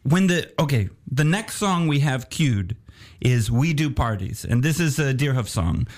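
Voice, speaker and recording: A man, a guest musician on a cold rooftop, chatting playfully with jokes and halting restarts. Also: medium pitch, expressive. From United States. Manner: playful, joking, often laughing, halting restarts. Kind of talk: casual interview chat. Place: rooftop terrace outdoors, cold day.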